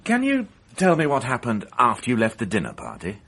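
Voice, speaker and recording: A man playing a dry, persistent police inspector in a radio mystery drama, measured and insistent yet courteous. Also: mid-range voice, huge pitch swings, fairly bright tone, a little hiss or hum.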